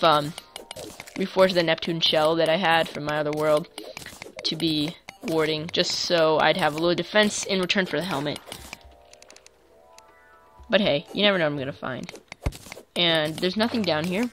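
A woman casually commentating her own gameplay for viewers, chatty and quick to get excited. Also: low-pitched, soft background music. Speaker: gaming YouTuber. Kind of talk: let's play commentary.

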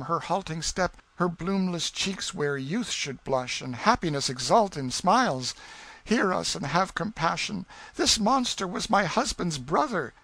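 A man voicing a frail, weeping noblewoman in a storybook, pleading in melodramatic, drawn-out archaic phrases. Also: extremely high pitch, gravelly, bright, forceful tone, voice pushed up, full character voice.

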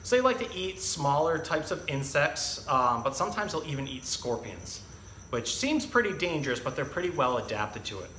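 A man's voice: medium pitch, pushed and bright. A man in his thirties talking and reading to children in a casual, explanatory, educational way as a zookeeper presenter. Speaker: zookeeper presenting an animal storytime. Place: indoor room with hard walls and tiled floor. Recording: echoey room, faint background noise.

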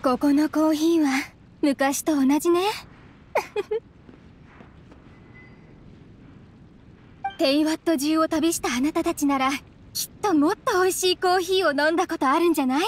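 A woman's voice: high voice, unhurried, pauses often, slight background noise. A woman voicing a friendly, easygoing young townswoman chatting sociably in an anime video game. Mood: friendly, nostalgic.

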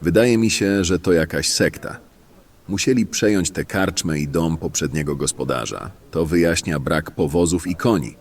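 A man acting a gruff, cynical, calculating bandit in a fantasy audio drama, speaking sardonically in a husky voice. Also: low-pitched, moderate pace. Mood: reasoning.

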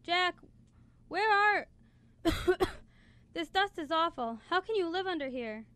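Plucky, kind toy girl, played by a woman, very high bright voice, coaxing and insistent, radio drama. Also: lively intonation, takes it slowly, dry recording, heavily acted. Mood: searching. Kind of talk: student radio play acting. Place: college radio studio, live broadcast. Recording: clear background noise in radio studio.

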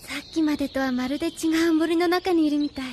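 An actress playing a curious, spirited teenage girl in a radio drama, expressive and full of wonder. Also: high-pitched, fast talker, slight background noise, natural voice. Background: music.

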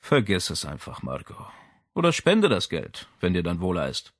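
Man acting male policeman, father, calm, direct, plain-spoken, crime audiobook, varied pitch, dry. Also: normal speed, no echo, full character voice. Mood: reassuring. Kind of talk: audiobook character dialogue.

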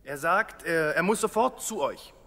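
A man's voice: on the high side, lively intonation, a little slow, pushed and bright, in a large hall, heavily acted. A man playing a hesitant, deferential palace servant in a radio play.

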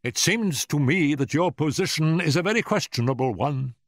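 An irascible, malicious old professor played by a male narrator, pompous and cutting. The voice is pushed well above a natural male pitch and swings widely, in a storybook reading. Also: lively intonation, unhurried, no echo, studio quality. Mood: angry. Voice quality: screaming at peaks.

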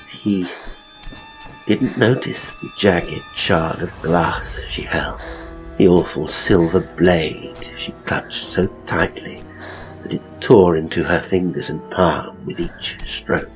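Man acting as a self-important ghost tour guide, low-pitched, theatrical horror storytelling, faint music underneath. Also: low-quality recording. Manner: theatrical, pompous, drawn-out suspenseful phrasing.

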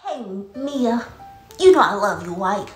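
Woman playing a mischievous little girl storyteller, highish voice. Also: very animated. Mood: sheepish. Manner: theatrical, drawn-out storytelling. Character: mischievous little girl Coco, cookie-loving tall-tale teller. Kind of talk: comedy skit storytelling. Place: small bedroom, indoors. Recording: roomy echo, faint music behind.

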